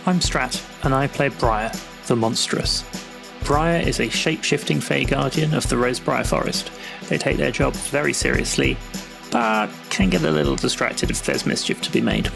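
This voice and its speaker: A man's voice: medium pitch, moderate pace, vocal fry, music in the background. A man speaking as a player in a roleplaying show, describing his character. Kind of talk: character introduction and game narration.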